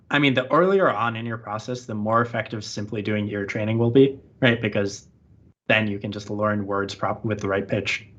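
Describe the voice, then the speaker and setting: A man's voice: medium pitch, a bit bright. A man being interviewed on a podcast, chatting casually and rambling with lots of "like" fillers. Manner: rambling, heavy use of "like" fillers. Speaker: interview guest. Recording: muffled lo-fi audio.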